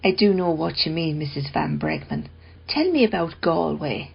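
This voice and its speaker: Calm probing woman in a radio play, lowish husky voice, measured and earnest, slightly muffled sound. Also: exaggerated voice. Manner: measured, questioning, persistent. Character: earnest, probing woman, a modest collector, quietly challenging.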